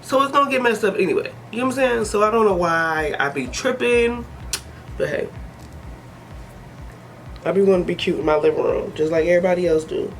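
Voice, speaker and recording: A woman's voice: lowish voice, expressive, brisk, pauses often, a little hiss or hum. A woman giving a casual hair tutorial, chatty and joking, sniffly with a head cold. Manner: chatty, joking, talks to herself. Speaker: hair vlogger.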